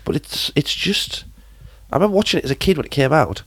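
A man's voice: fairly high pitch, very animated, fast, a bit bright, husky. A man co-hosting a podcast, casually discussing films in an emphatic, baffled way. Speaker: podcast co-host.

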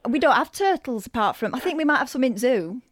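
A woman's voice: high voice, expressive, a little fast. Woman putting on a muddled Yorkshire busybody. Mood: puzzled. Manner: rambling, nosy, confused and blunt. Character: chatty, muddled middle-aged Yorkshire woman from a tourist board. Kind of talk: prank phone call.

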